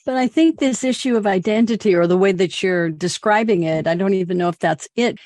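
A woman interviewer asks her guest questions in an easy conversational way, hedging her assumptions as she goes. Her voice sits at a middle pitch, and the recording is dry and close with little room sound. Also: fairly quick, darkish tone, no room sound.

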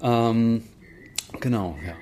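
A man's voice: mid-range voice, takes it slowly, warm tone. Man chatting in interview, noisy background. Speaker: stage actor being interviewed. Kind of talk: casual interview chat. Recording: on-location interview with background noise.

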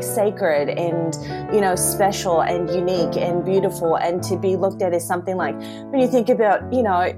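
A woman, a guest artist in a casual interview, laughing often and using lots of fillers. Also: medium pitch. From Iceland, raised in Seattle.

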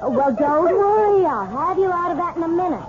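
Sugary creepy lady in an old radio play, high bouncy voice, lo-fi hiss. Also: fairly quick. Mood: cooing. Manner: sweet, sing-song, with an eerie calm. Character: genteel woman with a sinister secret. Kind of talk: 1970s radio drama acting. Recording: lo-fi 1970s radio broadcast with hiss.

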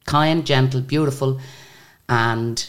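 Irish female singer, guest; very deep, low voice; animated, chatty storyteller. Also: slow pace. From Ireland. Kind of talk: casual interview storytelling.

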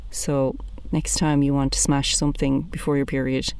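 Irish woman in her thirties, blunt animated comic, dry close sound. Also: a bass voice, normal speed, clear, resonant tone, no room sound. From Ireland. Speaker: comedian. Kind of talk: stand-up comedy monologue.

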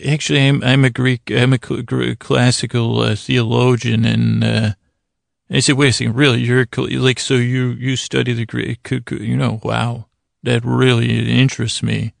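A man hosting a bedtime podcast in a meandering, halting monologue, gently silly and self-deprecating, with words repeated and thoughts drifting off into tangents. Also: mid-range voice, flat delivery, no room sound, clean studio sound. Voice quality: gravelly, creaky. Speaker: podcast host. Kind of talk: rambling bedtime monologue to help listeners sleep.

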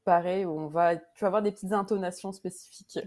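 Mellow-voiced French woman, relaxed guest. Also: lowish voice, fast talker. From France. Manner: relaxed, hesitant, many fillers and restarts. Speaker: guest author. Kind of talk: casual interview.

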